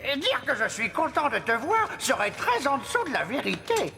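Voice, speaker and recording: A woman's voice: high-pitched, wildly swooping pitch, moderate pace, creaky, music in the background, full character voice. A woman voicing a kindly, worried elder in a Christmas cartoon, speaking solemnly and expressively. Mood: grateful. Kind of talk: cartoon dub acting.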